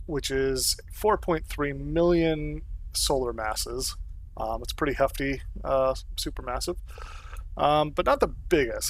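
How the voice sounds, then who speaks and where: Man streaming with a lingering cold. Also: medium pitch. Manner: relaxed, rambling, laughs often, lots of ums. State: getting over a cold. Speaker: streamer playing a space game. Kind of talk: casual live-stream commentary.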